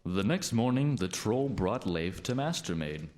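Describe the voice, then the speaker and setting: A man's voice: fairly low pitch, animated, measured pace, darkish tone, a little hiss or hum. A young man narrating a fairy tale for a radio play in a storytelling style. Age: young adult.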